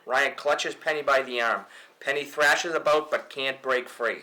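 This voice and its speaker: A man's voice: mid-range voice, fairly even intonation, a little slow, bright, forceful tone, poor audio. A man reading stage directions aloud as narration at a film table read.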